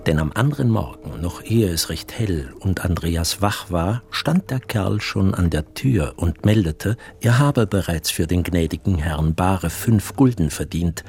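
A man's voice: low voice, expressive, measured pace, hardly any pauses, darkish tone, slightly rough. A man narrating a literary radio play as storyteller.

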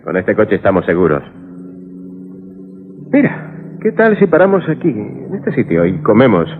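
A man's voice: fairly low pitch, wildly swooping pitch, moderate pace. An actor playing an enthusiastic, jovial family father with a Castilian accent in a Spanish radio drama. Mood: easygoing. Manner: exuberant, affectionate teasing. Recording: phone-like, faintly noisy 1980s radio recording.

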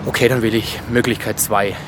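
A man's voice: fairly low pitch, steady tone, fast talker, background noise, lightly acted. A man playing a stubborn, indignant police inspector in a radio drama, insistent and official. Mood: determined. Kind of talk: modern German radio drama acting.

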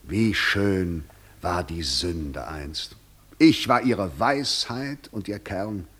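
An actor playing a weary, disillusioned old Devil in a theatrical radio play, with a musing, deliberate delivery. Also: male voice, low-pitched, animated, fairly bright tone, slightly rough, exaggerated voice. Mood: bitter, wistful.

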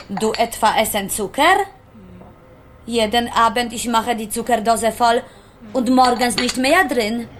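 Radio play: stern kitchen woman, broken German, slow and slightly high, faint ambience behind. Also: a little hiss or hum. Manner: scolding, broken grammar.

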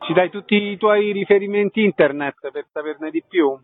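A man hosting a radio programme, putting questions to guests and announcing events in a measured, formal way. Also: high-pitched, phone-quality sound. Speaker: radio interviewer and host.

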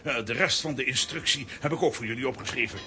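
An actor playing an excitable, fussy professor in a children's radio play, with an eager, flustered stammer. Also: male voice, mid-range voice, fast, a little hiss or hum, lightly acted. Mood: proud, eager.

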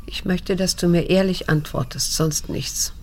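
An actress playing a restrained, wounded grown daughter in a radio drama, measured and introspective, with a creaky voice. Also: female voice, on the low side, steady tone, lightly acted. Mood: guarded, earnest. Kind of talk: radio drama monologue and dialogue.

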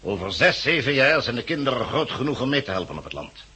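A man's voice: medium pitch, sing-song, quick pace, pushed and bright, breathy edge, full character voice. A man acting in a 1960s Dutch radio drama as a cold, officious committee envoy, formal and pompous, with a Netherlands accent. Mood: coolly insistent. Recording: muffled-edged vintage 1969 radio recording.

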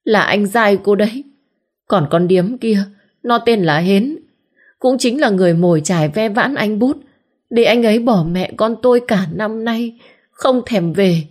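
A woman voicing a jealous village wife who scolds loudly and plays to the crowd. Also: unhurried, lightly acted. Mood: wailing. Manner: shrill, scolding, playing to the crowd.

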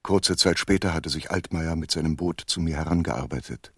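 Crime story narrator, extremely deep, husky, dry close sound, measured radio play storytelling. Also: male voice, fairly bright tone, dry recording. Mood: sober, measured. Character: uneasy male first-person narrator of a crime thriller.

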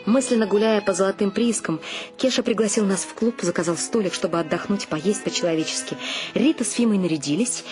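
A woman's voice: medium pitch, fairly quick, lightly acted. An actress playing a woman who narrates her bohemian family's tragicomic life in an audio play. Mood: animated storytelling. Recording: music under the voice. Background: music.

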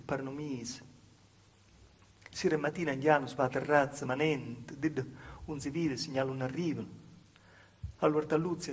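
Man playing a high-voiced Calabrian village woman, wistful. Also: a little mellow, a little hiss or hum, lightly acted. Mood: melancholy. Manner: confiding, halting, repetitive storytelling. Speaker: stage actor and monologuist. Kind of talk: intimate theatrical monologue in dialect. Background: live music.

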